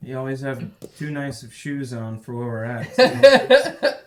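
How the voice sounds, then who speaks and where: Slow, joking middle-aged man. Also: medium pitch, sing-song. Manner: joking, unhurried. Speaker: player. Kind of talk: casual tabletop chat.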